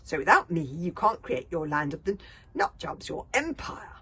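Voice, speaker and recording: A woman reading a storybook aloud and voicing a plucky boy hero, who shouts and argues defiantly. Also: deep voice, big pitch swings, normal speed, breathy edge, full character voice. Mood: pleading.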